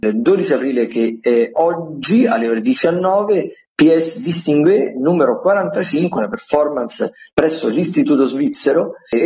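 Male radio presenter, mid pitch, semi-improvised culture listings, digressive and self-correcting, slightly muffled lo-fi sound. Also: darkish tone.

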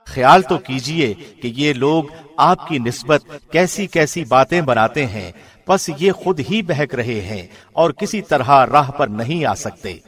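A man reading a scripture translation as a scripted narrator, in a formal, measured radio presenter's manner. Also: mid-range voice, lively intonation, slightly rough.

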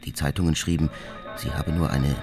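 A man's voice: steady tone, brisk. An actor playing a cold, methodical serial bomber who recounts his attacks in a detached, matter-of-fact monologue for a radio drama. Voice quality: very deep, creaky. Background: music.

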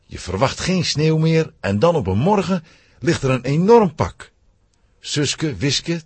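A man's voice: medium pitch, husky, no room sound. A man narrating a scripted children's story.

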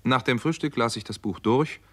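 An actor playing a sober, wry doctor who narrates a 1960s German radio detective story in a measured, matter-of-fact way. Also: male voice, mid-range voice, a bit bright, close to an everyday voice. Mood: sober, reflective. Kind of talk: 1960s German radio drama acting and first-person narration.

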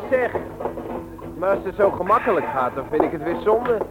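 An actor playing a brash, calculating young petty thief in a 1960s radio drama, on a dull recording. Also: male voice, sits high, sing-song, moderate pace. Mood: cocky, calculating. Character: cocky scheming youth, leader of petty book thieves. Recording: dull old radio recording, music behind. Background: music.

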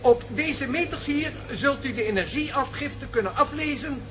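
A man's voice: very high voice, moderate pace, music in the background. Een man die in een hoorspel een bevlogen, vastberaden professor speelt, formeel en nadrukkelijk declamerend. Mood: solemn, declaiming. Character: impassioned, determined male professor championing a revolutionary theory. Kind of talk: Dutch radio play acting.

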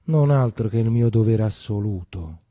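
A man's voice: lowish voice, lively intonation, normal speed, warm tone, no echo, studio quality, close to an everyday voice. A man playing a proud antifascist teacher, declaiming solemnly and with measure in a stage monologue. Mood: solemn, resolute. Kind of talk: stage monologue character acting.

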